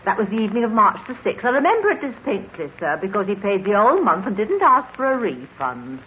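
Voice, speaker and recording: A woman's voice: mid-range voice, sing-song, quick pace, slight background noise, close to an everyday voice. An actress playing an eager-to-help landlady who talks in a chatty, deferential way, in a tinny old radio drama recording. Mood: fussy. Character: fussy, eager-to-help older female landlady.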